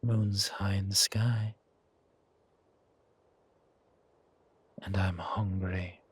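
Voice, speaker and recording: A man playing a suave, menacing, hungry vampire in an ASMR horror roleplay, with a softly taunting, drawn-out delivery. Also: deep voice, little pitch movement, slightly rough, exaggerated voice. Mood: hungry, predatory. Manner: slow, drawn-out, softly taunting, often whispering.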